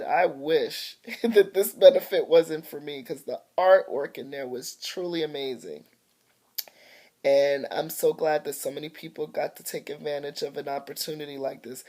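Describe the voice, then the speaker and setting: Woman, low voice, mellow tone, slightly congested, chatty and grateful, home video. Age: middle-aged. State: chest congestion from allergies. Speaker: artist and vlogger. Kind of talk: casual home video update. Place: at home by a window. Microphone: phone or webcam camera mic.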